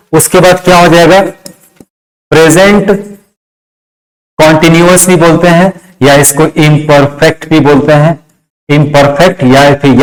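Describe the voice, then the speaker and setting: Man, Indian accent, fairly high pitch, classroom explanation, repetitive phrasing, rhetorical questions. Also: poor audio. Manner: instructive, repeats key phrases, asks and answers own questions. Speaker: English grammar teacher. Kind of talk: classroom lesson, step-by-step explanation.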